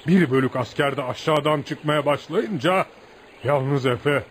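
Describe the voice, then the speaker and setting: An actor in a radio play voicing a deferential village man who tells outlaw legends with admiration, like an animated folk storyteller. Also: a little high, unhurried, close to an everyday voice. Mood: reflective storytelling. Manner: animated folk storyteller, addresses listener as sir. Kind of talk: radio theatre acting. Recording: noisy radio drama recording.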